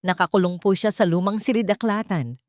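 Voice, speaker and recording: A woman's voice: mid-range voice, a little fast, dry recording, clean studio sound, close to an everyday voice. A woman storyteller voicing a frightened, loyal servant woman who pleads desperately in a trembling voice. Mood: urgent pleading.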